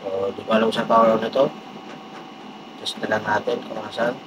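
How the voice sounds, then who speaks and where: A man with a Filipino accent giving a casual, halting unboxing review with a gravelly voice. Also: fairly low pitch. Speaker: vlogger doing a product unboxing and test. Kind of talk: casual unboxing review, talking while handling items. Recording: clearly noisy, steady background hum.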